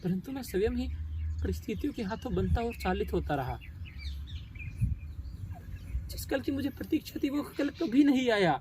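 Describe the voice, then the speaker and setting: A man performing a solemn theatrical monologue as an anguished, regretful, self-doubting poet, with halting, emotional delivery and dramatic pauses. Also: extremely high pitch, lively intonation, background noise. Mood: breaking anguish. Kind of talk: theatrical stage monologue in formal literary Hindi.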